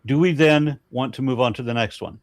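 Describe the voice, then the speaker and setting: A man hosting a casual panel discussion, halting and repeating words as he thinks. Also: medium pitch, no echo. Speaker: host.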